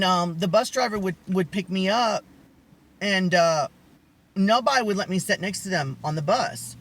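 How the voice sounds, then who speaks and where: High-voiced young guy vlogging, chatty and rambly, candid personal storytime. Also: fairly bright tone. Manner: rambling, candid, lots of restarts and asides. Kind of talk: casual storytelling to camera.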